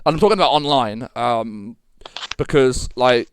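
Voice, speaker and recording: A man's voice: medium pitch, normal speed, smooth, no room sound. A man born in Britain, rambling through a casual podcast chat with lots of fillers and swearing. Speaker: podcast co-host.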